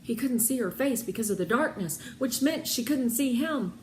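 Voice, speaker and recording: A woman reading a children's storybook aloud in an expressive, dramatic manner, with pondering pauses. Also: a little high, a little hiss or hum. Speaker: read-aloud narrator of children's books.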